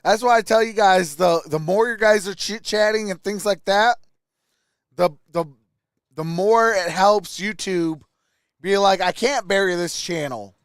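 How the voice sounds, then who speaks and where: A man's voice: high-pitched, a little slow, clean tone, dry recording, clean studio sound. A man hosting a sports livestream, casually mixing play-by-play with informal, chatty replies to viewers. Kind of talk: casual live play-by-play and chat.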